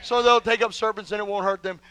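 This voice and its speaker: A male pastor preaching a sermon to his church, emphatic, with folksy humor and bursts of shouting. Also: sits high, fairly even intonation, quick pace, clear, resonant tone. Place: church sanctuary.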